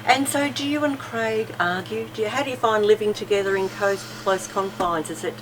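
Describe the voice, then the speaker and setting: A woman's voice: medium pitch, noisy background. A woman hosting a casual interview, chiming in often with encouraging replies. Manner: encouraging, quick back-channel replies. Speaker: podcast host.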